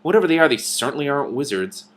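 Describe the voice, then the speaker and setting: A man's voice: medium pitch, big pitch swings, very fast, voice pushed up, natural voice. A man voicing an officious, easily annoyed dragon with a blunt, dismissive manner in a storybook reading. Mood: severe. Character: gruff, officious olive-green male dragon, easily annoyed.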